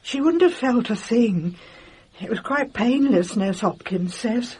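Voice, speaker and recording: An actress playing a mournful, deferential housekeeper, an older woman with a husky voice, in a radio drama. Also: on the high side, lively intonation, measured pace, a little mellow. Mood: hushed, mournful.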